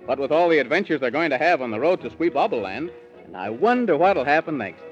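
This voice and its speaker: A man's voice: a little high, very animated, quick pace, faint music behind. A man narrating a 1930s children's radio serial, playfully addressing young listeners. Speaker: storyteller narrator. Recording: muffled vintage 1930s radio recording.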